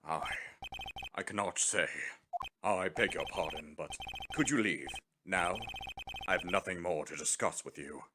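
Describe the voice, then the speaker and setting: A man voicing a pompous, blustering older lawyer in a video game fan dub, theatrical and evasive, with hums and throat-clearing. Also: low voice, measured pace, very noisy. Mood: grave, regretful.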